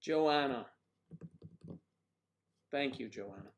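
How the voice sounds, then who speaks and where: A man hosts a casual livestream from home, reading out viewers' comments. He rambles and cracks jokes as he greets people in the chat. His voice sits at an ordinary middle pitch. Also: very slow. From United States. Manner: chatty, jokey, rambling, talks to viewers by name.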